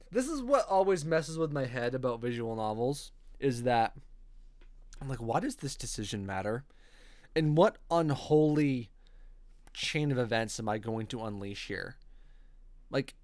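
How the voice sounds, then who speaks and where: A man streaming a visual novel, reading its narration aloud and adding casual commentary. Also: on the high side. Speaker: game streamer. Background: game music.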